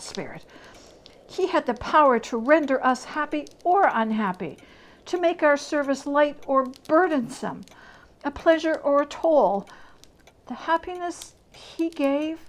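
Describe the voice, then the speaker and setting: Woman playing old male miser, storybook, earnest, halting, protesting, unhurried. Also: high-pitched, voice pushed up.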